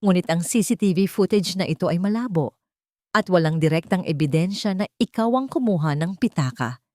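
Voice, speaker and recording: Calm attorney with authority read by a female narrator, measured story dialogue, close dry sound. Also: on the low side, no echo, lightly acted. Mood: reassuring, firm.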